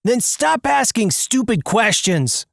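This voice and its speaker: A narrator voicing a brusque, bossy accomplice in an animated story, commanding and dismissive. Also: medium pitch, slow pace, vocal fry, no echo, studio quality, pitched above the performer's own voice, heavily acted. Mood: impatient.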